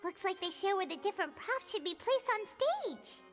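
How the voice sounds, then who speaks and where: A tiny, chirpy fairy-like girl companion, speaking with a piercingly high, squeaky voice that bounces all over the place. She sounds like a video game sidekick. Long reverb and clear background music surround her. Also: big pitch swings, moderate pace, fairly bright tone, heavily acted. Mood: excited.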